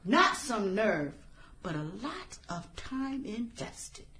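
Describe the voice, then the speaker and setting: A woman acting a bitter, vengeful former outcast turned killer in a radio drama, sarcastic and theatrical with drawn-out phrasing. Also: medium pitch, sing-song, sharp tone, full character voice. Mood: anguished, angry.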